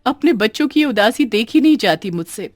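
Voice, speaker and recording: A woman voicing a poor, tender village mother in a cartoon moral story, plaintive and expressive. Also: a little high, brisk, no room sound, studio quality, soft background music. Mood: sorrowful prayer. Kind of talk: animated moral story acting.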